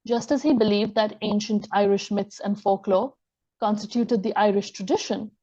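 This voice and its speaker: A woman giving a scholarly lecture, reading from her text in a measured way and quoting sources aloud. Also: mid-range voice, steady tone, warm tone, highs cut off. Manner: measured, reads quotations aloud. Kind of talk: reading an academic talk.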